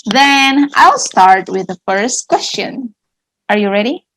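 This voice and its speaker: A woman's voice: a little high, expressive, slow pace, a bit bright, slight background noise, rough recording. A woman from Indonesia, a teacher asking questions in a friendly, curious way, with lots of small acknowledgements. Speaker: English and Indonesian teacher, co-host. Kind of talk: casual conversational Q&A.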